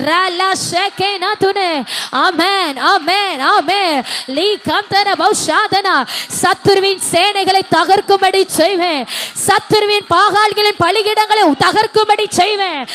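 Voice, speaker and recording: Fervent high-voiced woman prophesying. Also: a bit bright. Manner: fervent, repeats each phrase. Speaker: prophetic minister. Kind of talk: prophetic prayer with speaking in tongues.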